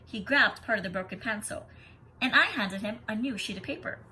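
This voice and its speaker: A woman teaching young children, reading a picture book aloud expressively and explaining as she goes in an animated way. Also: mid-range voice, brisk, a little hiss or hum, low-quality recording. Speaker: kindergarten teacher.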